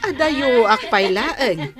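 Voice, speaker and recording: A woman's voice: fairly high pitch, big pitch swings, slow pace, over music. A woman playing a nosy, jealous village gossip in a radio comedy drama, grumbling and exclaiming with an Ilocano accent. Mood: scheming, curious.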